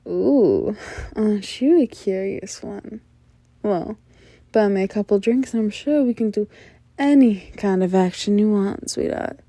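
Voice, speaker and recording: Woman acting a mellow, teasing female mafia boss. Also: medium pitch, no echo. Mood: suggestive. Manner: coy, teasing, drawn-out hums and knowing laughs. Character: flirtatious, teasing female mafia boss, sly and self-assured. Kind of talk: ASMR roleplay acting.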